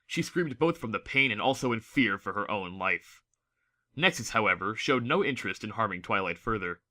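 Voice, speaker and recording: A man's voice: on the low side, animated, normal speed, pushed and bright. A man narrating a fantasy story from a script in a dramatic audiobook style.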